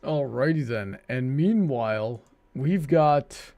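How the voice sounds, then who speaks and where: A man hosting a livestream in casual banter, often halting as he thinks aloud. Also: medium pitch, expressive, lo-fi sound. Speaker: livestream host.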